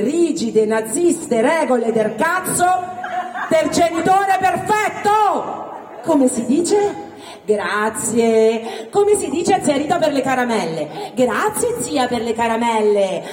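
A woman comedian with a Roman accent and a fairly high, swooping voice performs on stage. She is theatrical and mock-indignant, hitting words emphatically. The sound is echoey and off-mic. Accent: Roman Italian. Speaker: stand-up comedian. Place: live indoor stage before audience. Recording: echoey venue, distant mic, crowd noise.

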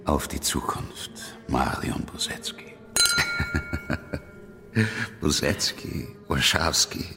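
American guy in radio drama, super deep voice, wry and easygoing. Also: drawn-out words, vocal fry, bright, forceful tone, soft background music, full character voice. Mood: wry, assured. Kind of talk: radio play dialogue.

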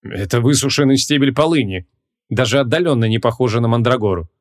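A man voicing an imperious, scornful ancient mage in an audiobook, curt and commanding, with a hoarse edge. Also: mid-range voice, fast talker, lightly acted.

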